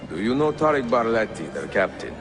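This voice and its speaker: An actor playing an aging, grave master assassin in a video game, with an Italian accent and a measured, formal manner. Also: male voice, sing-song, music in the background. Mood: calm, probing. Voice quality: deep, gravelly. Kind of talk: video game character acting.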